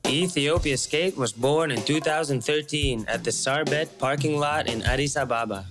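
A man's voice: a little high, normal speed, music in the background. A man hosting a travel skate vlog, talking in an upbeat, chatty way. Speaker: vlog host.

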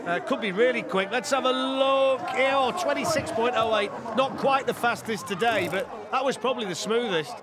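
A man giving lively, jokey live commentary on a downhill race at an outdoor track. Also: very high-pitched, a crowd in the background. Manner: excitable, quick reactions, jokey.

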